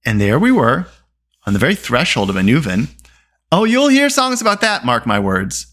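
An excitable male bard from a fantasy storybook, played by a narrator. He is boastful and dramatic, gushing with effusive phrases. His pitch is highly animated. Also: moderate pace.

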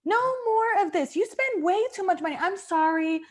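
Lady playing bossy mom-like wife, high voice, fussing and scolding, improv sketch. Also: normal speed. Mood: exasperated, scolding. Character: exasperated, bossy, motherly wife, older mature woman.

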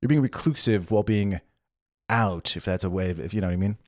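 A young man co-hosting a casual chat podcast, telling animated stories and acting out what others said. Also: low-pitched, fast. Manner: animated storyteller, acts out quotes. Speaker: podcast co-host. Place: living in Germany.

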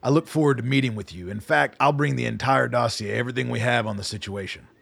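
A man's voice: mid-range voice, fast, gravelly, a bit bright, slightly rough, no room sound, heavily acted. A man acting as a defiant middle-aged army colonel in a radio play, formal and sardonic in a clipped way. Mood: controlled, formal. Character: gruff, defiant army colonel facing down a politician.

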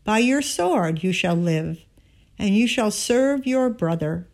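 Woman voicing an aged patriarch, solemn, deliberate, unhurried, scripture story. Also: medium pitch, lively intonation, darkish tone, pitched above the performer's own voice. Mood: solemn, resigned. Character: old blind father, solemn and resigned.

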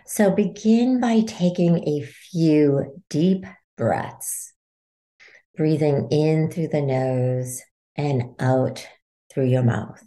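A woman leading a guided meditation as a podcast host, giving soothing, unhurried instructions. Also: low-pitched, warm tone.

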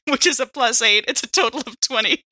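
A woman playing a tabletop game, casually describing her character's moves in a giggly, animated way. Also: a little high, moderate pace, no room sound.

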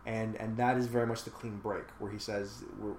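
A man's voice: fairly low pitch, flat delivery, brisk, a little mellow. Man talking at length in a roomy space. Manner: thoughtful, talks at length with fillers. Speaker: podcast host discussing a film. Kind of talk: casual discussion. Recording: echoey room with faint noise.

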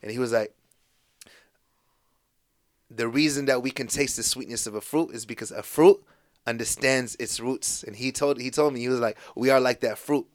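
A man raised in the United States, a podcast guest telling stories in a relaxed, casual way, full of fillers and restarts. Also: medium pitch, moderate pace, long pauses, no room sound, studio quality. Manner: laid-back storytelling with lots of fillers and restarts.

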